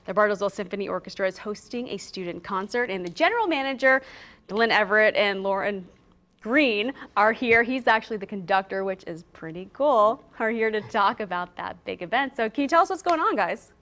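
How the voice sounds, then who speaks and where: A woman's voice: medium pitch, expressive, fairly quick, a bit bright. A woman hosting a local TV interview in a studio, upbeat and informal in manner. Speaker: TV news host, interviewer.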